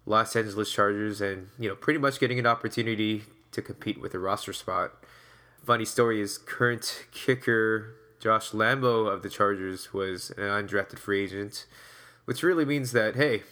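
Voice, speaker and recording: A man's voice: on the low side, a bit bright. A man hosting a sports podcast, talking in a casual, upbeat, conversational way. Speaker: podcast host and interviewer.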